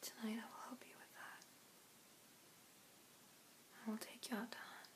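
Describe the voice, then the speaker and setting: A young woman playing a gentle, apologetic vampire in an ASMR roleplay, whispering slowly and deliberately with pauses. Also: on the high side, flat delivery, natural voice. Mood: apologetic, tender. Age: young adult. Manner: slow, hushed, deliberate, with pauses. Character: gentle, apologetic female vampire rescuer, ancient but youthful. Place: small room indoors. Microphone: phone or webcam at arm's length.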